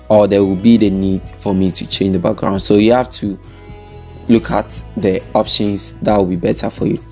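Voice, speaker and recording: A man talking through a software design tutorial step by step, chaining steps with "and then". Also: fairly low pitch. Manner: steady step-by-step narration with frequent "and then". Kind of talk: screen-recorded software tutorial.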